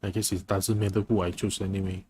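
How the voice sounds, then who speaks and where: Low-voiced man casually streaming a game. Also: little pitch movement, fast talker, dark tone, breathy edge. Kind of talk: casual live-stream commentary. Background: game music.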